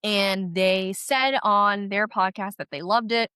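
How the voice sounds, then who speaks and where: Stuffy-nosed woman, bright crisp voice. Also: medium pitch, takes it slowly, no room sound, clean studio sound. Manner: chatty, animated, laughs often. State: stuffy from a head cold. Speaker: podcast co-host. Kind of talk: casual chat.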